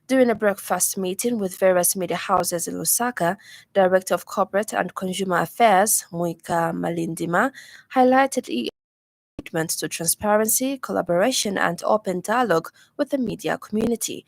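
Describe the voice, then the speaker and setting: A woman news anchor reading a radio news bulletin in a measured, formal delivery from a studio. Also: medium pitch, gravelly, dry recording. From Zambia.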